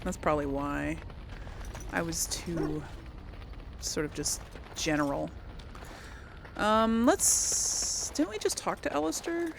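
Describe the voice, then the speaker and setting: A woman's voice: on the low side, expressive, slow, gravelly, pauses often, noisy background. A woman doing casual let's play commentary, thinking aloud in an informal, hesitant way. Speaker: gamer, let's play host.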